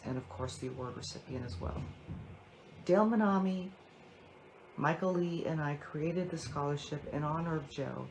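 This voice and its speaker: A woman's voice: low voice, a little mellow, slight background noise, poor audio. A woman who co-founded a scholarship, presenting the award with enthusiastic praise.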